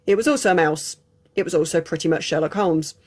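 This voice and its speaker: A woman's voice: fairly low pitch, fast talker. English woman rambling thru a movie ranking, blunt opinions, wanders off topic a lot. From England. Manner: chatty, frank and digressive. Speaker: film reviewer, channel host. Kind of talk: casual unscripted film review.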